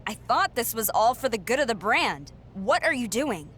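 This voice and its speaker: A defiant wrestling champion. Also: female voice, a little high, sing-song, moderate pace, a bit bright, a little hiss or hum. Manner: earnest, defiant. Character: determined young female wrestling champion. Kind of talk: video game cutscene dialogue.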